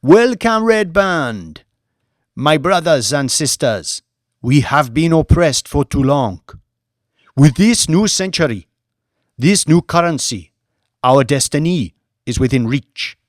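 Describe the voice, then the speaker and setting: A man's voice: on the high side, animated, slow pace, no room sound, studio quality, voice pushed up. A man voicing a fervent female African protest leader giving a declamatory rally speech in an audiobook. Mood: rousing. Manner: declamatory, exclamatory.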